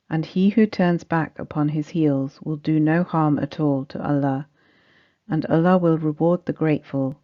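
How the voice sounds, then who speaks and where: A woman's voice: low voice, warm tone. A woman reading a scripted religious study text aloud as narration. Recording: dull, muffled lo-fi recording.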